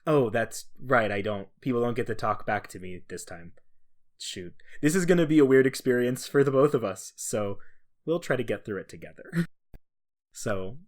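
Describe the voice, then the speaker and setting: A man's voice: medium pitch, expressive, normal speed. A man co-hosting a podcast on his own, explaining a topic casually with rambling, self-deprecating asides. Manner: chuckles at own remarks. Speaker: podcast co-host.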